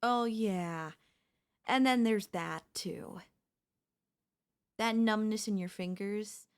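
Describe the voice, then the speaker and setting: A woman voicing a sly, chatty female zombie in a comedic audio roleplay, coaxing and reasoning in a casual, conversational way. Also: medium pitch. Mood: casually warning.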